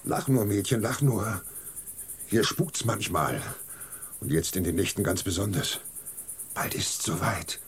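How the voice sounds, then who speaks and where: A man's voice: low-pitched, a little slow, pauses often, breathy edge, exaggerated voice. A man playing a superstitious, fearful village goatherd in a radio play, given to muttered warnings and frightened shrieks. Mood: wary, eerie. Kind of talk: German radio drama acting.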